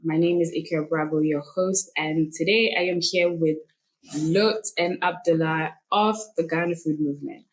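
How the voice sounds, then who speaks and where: A woman's voice: low voice, steady tone, moderate pace, rough recording. A woman hosting a podcast interview, upbeat and chatty, laughing easily. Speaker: podcast host. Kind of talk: casual interview.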